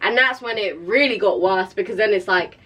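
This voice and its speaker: A woman's voice: mid-range voice, brisk, fairly bright tone, husky. A young woman from the Philippines, chatting casually as a podcast guest, full of slang and fillers. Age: young adult. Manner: slang-heavy, filler-laden, laughs often. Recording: distant mic, faint background noise.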